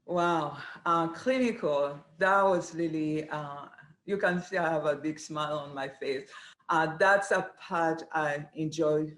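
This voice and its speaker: Woman, middle-aged, earnest and measured, roomy echo. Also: low-pitched, a bit bright. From Rwanda. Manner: earnest, measured, pauses often with "uh". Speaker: dietetics program director, guest. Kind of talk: interview answers. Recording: echoey room.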